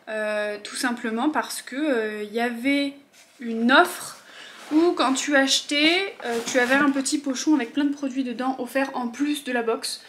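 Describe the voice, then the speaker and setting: A young woman chatting casually as she unboxes beauty products at home, with quick asides and murmured afterthoughts. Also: high voice, fairly bright tone, a little hiss or hum. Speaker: beauty vlogger.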